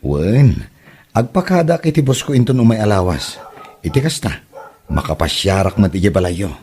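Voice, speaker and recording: A man's voice: on the low side, big pitch swings, moderate pace, a little mellow, breathy edge, full character voice. A man playing an agreeable young suitor in a comedy drama.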